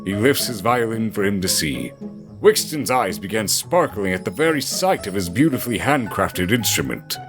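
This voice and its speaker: A man's voice: lowish voice, very animated, moderate pace, over music. A man reading scripted narration for an audio drama, in a storytelling style.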